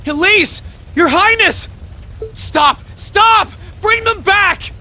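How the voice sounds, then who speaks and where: A man's voice: very high-pitched, slow pace, bright, forceful tone, full character voice. A man playing an earnest, desperate young hero swordsman in a video game, intense and emotional. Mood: desperate, shouting. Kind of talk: dramatic video game voice acting.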